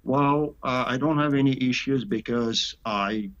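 Slow, hesitant man on a lo-fi call. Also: medium pitch, a bit bright. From Canada. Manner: halting, many "uh" pauses, slight non-native grammar. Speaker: sniper interviewed as guest. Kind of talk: remote interview answers. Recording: dull lo-fi call audio.

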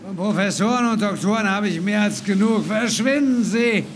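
Actor as defensive, halting, disgraced doctor. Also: male voice, high-pitched, a little hiss or hum, natural voice. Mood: hostile. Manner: halting, stammering, agitated. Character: defensive, bitter, disgraced male doctor who drinks. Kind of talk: German audio drama acting.

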